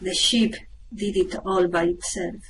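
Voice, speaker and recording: A woman playing a calm, practical spaceship crew member in an amateur radio play. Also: fairly low pitch, unhurried, natural voice, rough recording. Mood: matter-of-fact. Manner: patient, matter-of-fact, reassuring. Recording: noticeable background noise.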